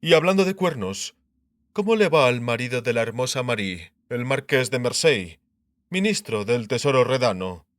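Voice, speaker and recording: Sardonic king, acted by a man, pompous, self-assured, lively intonation, medium pitch, audiobook drama. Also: normal speed, no room sound, close to an everyday voice. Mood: mocking. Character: shrewd, mocking, self-assured male king.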